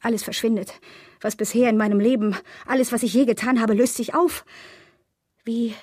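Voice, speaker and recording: A woman acting in a radio drama monologue as a bitter, betrayed agency employee, narrating her thoughts with measured irony. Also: fairly high pitch, fairly even intonation, a little mellow, no echo, studio quality, lightly acted. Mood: numb, disillusioned. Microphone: close, dry studio microphone.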